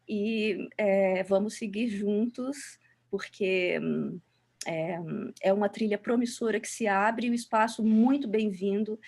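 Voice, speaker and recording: A woman's voice: mid-range voice, darkish tone. Brazilian woman moderating an online academic talk, kinda hesitant, picking her words as she goes. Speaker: moderator introducing a speaker. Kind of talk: academic introduction, unscripted. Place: online video call.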